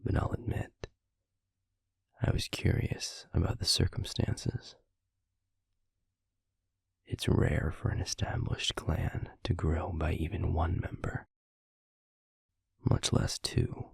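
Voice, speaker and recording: A man playing a stern, guarded werewolf pack alpha in an audio roleplay, speaking formally and deliberately in a gravelly, husky voice. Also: rumbling low, completely flat delivery, no echo, clean studio sound, exaggerated voice. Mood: grave, measured. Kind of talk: scripted audio roleplay acting.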